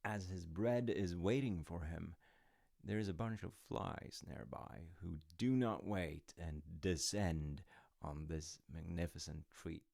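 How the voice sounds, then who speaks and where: A man playing a gentle, wryly amused dad who tells his kid a folktale in an unhurried, musing, conversational way for an audio roleplay. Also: low-pitched, creaky, no room sound, clean studio sound, full character voice. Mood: amused storytelling. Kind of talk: audio roleplay, rambling bedtime-style storytelling.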